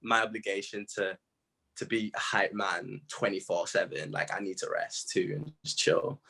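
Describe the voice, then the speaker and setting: A man's voice: on the low side, fairly even intonation, normal speed, gravelly, pushed and bright, slightly rough. A young man chatting casually in an interview, using informal, slangy speech as a music artist. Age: young adult. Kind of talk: casual chat.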